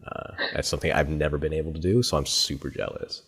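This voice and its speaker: A man hosting a podcast, chatting in a relaxed, upbeat way with his guest and wrapping up the show. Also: extremely low pitch, fast talker, husky. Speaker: podcast host. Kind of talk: casual interview and outro.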